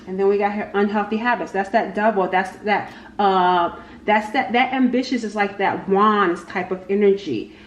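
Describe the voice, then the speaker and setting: A woman giving a casual, unscripted tarot reading, speaking haltingly and repeating her phrases as she goes. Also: medium pitch, lo-fi sound. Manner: halting, repeats phrases, talks to spirit and cards. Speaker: tarot reader, channel host. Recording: echoey room with faint background noise.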